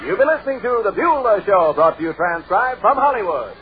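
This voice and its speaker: A man's voice: sits high, big pitch swings, brisk, phone-quality sound, over loud music. An American man reading a scripted announcement in the style of 1950s radio. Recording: noisy band-limited old radio broadcast.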